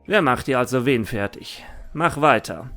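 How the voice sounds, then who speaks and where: A man's voice: mid-range voice, lively intonation, moderate pace, sharp tone, faint music behind. A man playing a confident, defiant young duelist with a dragon deck in an anime fan audio drama. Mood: determined.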